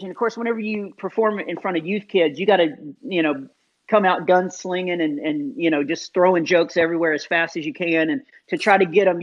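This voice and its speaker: A man, a comedian guest in an interview, telling self-deprecating stories in an animated, casual way with many fillers. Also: high-pitched. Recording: dull lo-fi sound.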